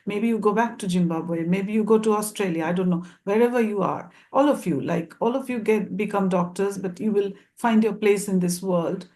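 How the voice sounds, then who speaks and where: A woman's voice: medium pitch, moderate pace, hardly any pauses, warm tone. A woman moderating a panel interview, asking long rambling questions with repeated words.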